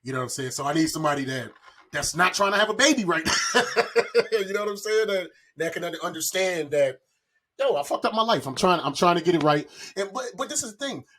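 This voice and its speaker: A man's voice: sits high, animated, fast talker, sharp tone, slight background noise. A man in his forties chatting casually on a podcast, speaking candidly and loosely in slangy, rambling phrases. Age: 40s.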